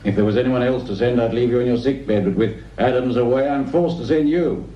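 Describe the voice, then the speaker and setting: A man's voice: mid-range voice, a little fast, lightly acted. A middle-aged man with an English accent playing a stern, disapproving police superintendent in a radio drama, curt and cutting. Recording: roomy, distant, dull radio drama recording.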